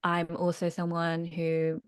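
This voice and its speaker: A woman's voice: on the low side, monotone, slow pace, clean tone. Woman leading an online workshop. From United Kingdom. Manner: earnest, reflective, checks in with listeners. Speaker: workshop leader and business coach.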